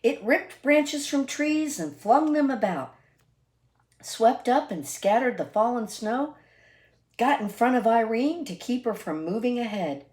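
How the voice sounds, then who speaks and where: A woman reading a children's picture book aloud expressively, deliberate and emphatic, with asides to listeners. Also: a little high, expressive, a little mellow. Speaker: read-aloud storyteller.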